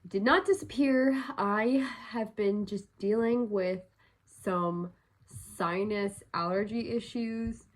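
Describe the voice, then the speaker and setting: Young woman, casual vlogger, slightly congested nose, loose rambling delivery, mid-pitched everyday voice. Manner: rambling, filler-heavy talk. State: a little stuffed up and congested. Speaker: crochet vlogger. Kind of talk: casual vlog chat.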